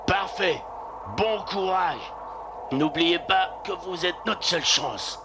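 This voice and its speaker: Creaky-voiced, grave village man. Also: sits high, takes it slowly, noisy background. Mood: solemn. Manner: solemn, instructing. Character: grave, trusting village man sending off sled dogs. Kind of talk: French-dubbed cartoon acting. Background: music.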